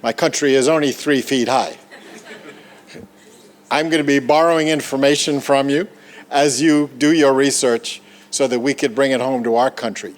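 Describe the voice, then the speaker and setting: A man's voice: medium pitch, steady tone, moderate pace. Earnest Bahamian man giving a speech. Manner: earnest, encouraging and congratulatory. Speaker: Rotary Foundation trustee chair. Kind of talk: ceremonial speech.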